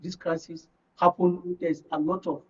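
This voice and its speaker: A high-voiced man with a northern Nigerian accent gives his views as a political guest on a talk show. He leans on key phrases by repeating them. The sound is dull and picked up from some distance. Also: flat delivery, normal speed, darkish tone, husky. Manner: emphatic, repeats phrases for stress. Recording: muffled, distant microphone.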